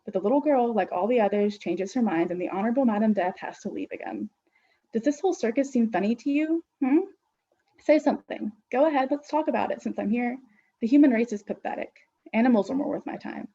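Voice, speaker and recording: An ancient, weary female Death, as a woman puts her on, lecturing with grand scorn in a raised, husky voice that swells loud on her pronouncements. The sound is dull and lo-fi, like a storybook reading. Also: on the high side, animated, fairly quick. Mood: scornful.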